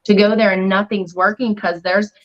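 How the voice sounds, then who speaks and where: A woman's voice: mid-range voice, steady tone, normal speed. A woman with a mid-range voice explains her ideas articulately on a podcast. Her audio sounds dull and lo-fi. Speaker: podcast guest or co-host. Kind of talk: casual podcast talk.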